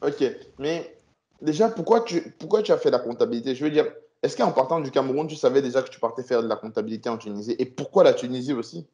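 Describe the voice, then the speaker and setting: A man's voice: mid-range voice, lively intonation, fast, a little mellow, dull audio. A man interviewing a guest, curious and probing with follow-up questions.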